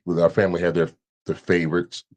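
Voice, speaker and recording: Very deep-voiced man, halting speech. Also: flat delivery, darkish tone. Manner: halting, many restarts and repeated words. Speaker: podcast guest. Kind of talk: casual conversation.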